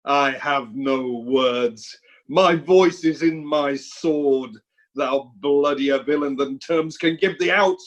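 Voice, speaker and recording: A man's voice: medium pitch, slow pace, lightly acted. An amateur actor playing an avenging, righteous warrior nobleman in a Shakespeare radio play, emphatic and declamatory. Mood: vengeful. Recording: home recording over video call.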